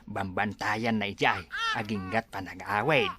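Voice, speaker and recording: A woman's voice: rumbling low, steady tone, a little slow, background noise. A woman playing a grumbling, sighing village parent with an aching back in an Ilocano comedy drama. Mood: complaining.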